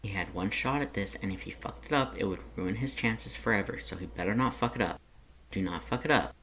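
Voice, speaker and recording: A narrator reading scripted fan fiction aloud in an expressive, dramatic way. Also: sits low, a little fast, slight background noise.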